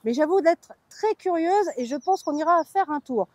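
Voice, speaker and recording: A woman's voice: very high-pitched, expressive, normal speed, darkish tone, no room sound. A woman from France hosting a vlog, chatting informally with a playful, candid manner. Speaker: vlog host. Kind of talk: chatty theme park news vlog.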